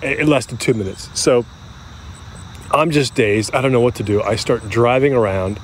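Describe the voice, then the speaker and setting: An actor playing a middle-aged American man who recalls his youth candidly, in a halting, conversational, self-deprecating way, as if interviewed for an audio drama. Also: mid-range voice, slight background noise, lightly acted. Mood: candid, rueful. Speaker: interview subject. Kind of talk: naturalistic audio drama acting as interview reminiscence.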